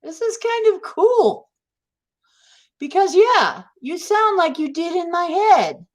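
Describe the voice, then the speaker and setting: A woman's voice: very high-pitched, very animated, slow. A woman hosting a live stream, chatting casually and encouraging her guest, repeating herself. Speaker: stream host. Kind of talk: casual chat.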